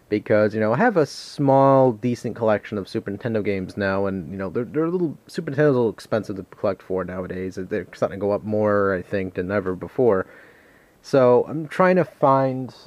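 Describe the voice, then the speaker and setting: A man's voice: fairly low pitch, a little mellow, no room sound. A man doing a casual, unscripted show-and-tell vlog in a rambling, hesitant, self-deprecating way. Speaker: video game collector, vlogger. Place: a room at home.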